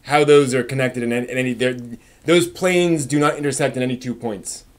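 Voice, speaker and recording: Male live stream host, mid-pitched, casual and opinionated, halting as he thinks through replies to chat.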